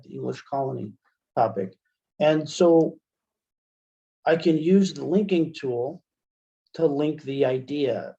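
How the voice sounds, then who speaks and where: Muffled, mellow American man giving a tutorial. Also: a little high. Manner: informal, halting, checks in with "right?". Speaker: webinar presenter and instructor. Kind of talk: software tutorial, explaining step by step. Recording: muffled, dull computer-call audio.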